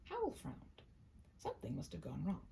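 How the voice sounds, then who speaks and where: A woman reads a comic story aloud with mock-grand flair. Her voice sits slightly low and is creaky, with animated swings in pitch, over faint background noise. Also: normal speed. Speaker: narrator, story reader. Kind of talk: scripted humorous story read-aloud.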